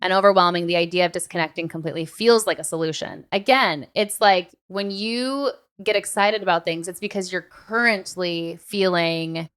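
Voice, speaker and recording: A woman with a fairly low, smooth and resonant voice talks casually as a podcast host. She speaks emphatically, peppering her thoughts with conversational filler words like "literally.". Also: moderate pace, hardly any pauses, a bit bright.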